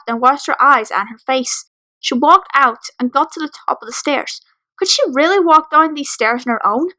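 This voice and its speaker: A woman's voice: sits high, sharp tone, low-quality recording. A woman narrating fan fiction as a dramatic story reading.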